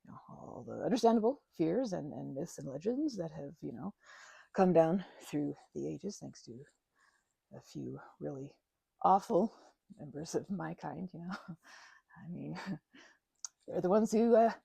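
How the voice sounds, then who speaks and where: A woman playing a timid, gentle vampire in an audio roleplay, stammering and hesitant in a soft, breathy voice. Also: low-pitched, expressive, unhurried, pauses often, dry recording, heavily acted. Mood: awkward, rueful. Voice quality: soft, breathy, sometimes dropping to a whisper. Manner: nervous stammering, hesitant, apologetic, breaking into nervous giggles. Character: timid, grateful, gentle female vampire, shy and friendly. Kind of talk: audio roleplay acting, intimate one-sided conversation.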